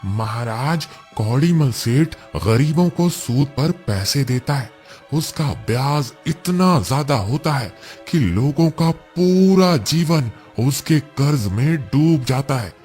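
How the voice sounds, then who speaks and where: A man playing deferential village priest, slow-spoken. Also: medium pitch, a little mellow. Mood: earnest, complaining. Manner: respectful, earnest, explanatory. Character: deferential dead village priest, a man's soul. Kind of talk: Hindi cartoon moral story acting.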